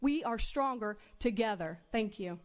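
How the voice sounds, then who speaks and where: A woman's voice: a little high, animated, unhurried. A woman giving a mayoral campaign speech in a dramatic, oratorical manner with clipped, emphatic phrases. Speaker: mayoral candidate.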